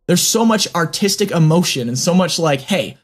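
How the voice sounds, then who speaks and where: High-voiced, husky man rambling eagerly. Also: expressive, moderate pace. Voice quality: high-pitched voice. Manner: rambling and enthusiastic, heavy use of filler words; pitch fluctuates a lot as he talks. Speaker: interview guest. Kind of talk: casual conversation.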